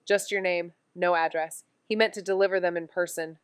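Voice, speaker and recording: A woman's voice: lowish voice, steady tone, a little fast, clear, resonant tone, no room sound, studio quality, close to an everyday voice. A woman playing an earnest amateur investigator in an audio drama, with a casual, thinking-aloud way of talking. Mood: serious, urgent. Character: Amy, eager young woman amateur investigator who narrates. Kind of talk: audio drama dialogue and first-person narration.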